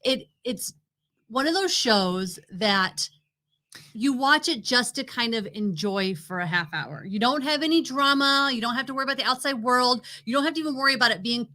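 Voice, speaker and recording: A woman's voice: fairly high pitch, expressive, moderate pace, bright, forceful tone. A woman co-hosting a TV review show, chatting casually and unscripted in an opinionated, emphatic way. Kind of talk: casual unscripted chat, presenting a ranked list.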